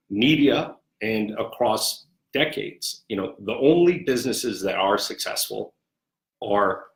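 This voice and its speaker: In a roomy, echoing recording, a man with a lowish voice gives interview answers. He speaks as a sports executive, measured and conversational, often circling back over his phrases. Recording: echoey, roomy sound.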